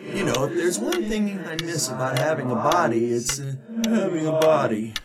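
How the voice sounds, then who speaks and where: A man's voice: a little high, lively intonation, moderate pace, very noisy, lightly acted. A man playing the ghost of a wry, slangy old 1920s sportswriter in an audio drama, with a theatrical delivery. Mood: wry, musing. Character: ghost of a wisecracking, worldly old sportswriter, fond of booze.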